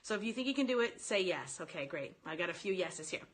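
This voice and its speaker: Bright-voiced woman coaching live online. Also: lowish voice, fast talker. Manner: animated, informal, addresses listeners directly, quotes sample phrases. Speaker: sales coach hosting a live online training. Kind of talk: informal live-stream coaching, chatting with commenters.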